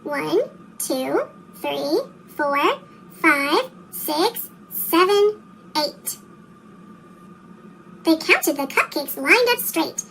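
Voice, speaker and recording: A storyteller with a pitched-up chipmunk effect, reading a children's story in an animated, expressive way for young listeners. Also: vocal fry, slight background noise, poor audio. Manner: animated, expressive, slow read-aloud delivery for young children. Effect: chipmunk-style pitched-up voice. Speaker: storybook reader. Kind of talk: scripted children's storybook narration.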